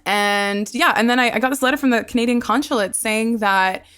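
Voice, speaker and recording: A woman's voice: fairly high pitch, a little fast, a bit bright, smooth. A woman, a podcast host chatting about her family over a video call, laughing often. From Australia. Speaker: podcast host being interviewed. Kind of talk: personal storytelling in conversation.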